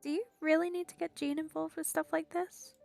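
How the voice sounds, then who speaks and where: A woman's voice: extremely high pitch, level tone, fairly quick, creaky, a little mellow. A woman game streamer giving casual playthrough commentary with wry, exasperated asides. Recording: game music behind the voice.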